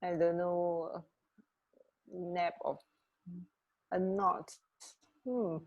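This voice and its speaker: A woman's voice: lowish voice, level tone, very slow, highs cut off. A woman co-hosting a radio show in casual, unscripted chat, musing and often trailing off mid-thought. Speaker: radio co-host.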